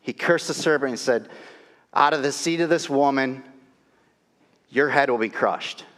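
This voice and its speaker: A man's voice: mid-range voice, measured pace, fairly bright tone. A middle-aged male pastor with a cold and a nasal voice, preaching a sermon in a casual, storytelling way in a church. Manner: conversational, storytelling, self-deprecating. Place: church sanctuary. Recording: long reverb of a church hall.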